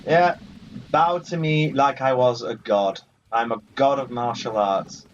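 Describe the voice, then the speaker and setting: A man's voice: medium pitch, measured pace, a little hiss or hum. Muffled man doing casual gaming commentary. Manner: jokey, mildly sweary banter, talking to the game's characters. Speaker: gaming video host. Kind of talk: casual let's play commentary. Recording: muffled lo-fi audio over game music. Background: video game music.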